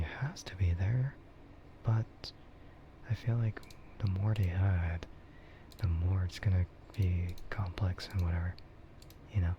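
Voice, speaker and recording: A man streaming ASMR in a soft, breathy whisper, chatting casually and unhurriedly in a meandering way that trails off. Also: low-pitched. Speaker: streamer hosting an ASMR livestream. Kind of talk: ASMR, rambling casual chat about games and anime. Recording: muffled lo-fi sound with clear noise.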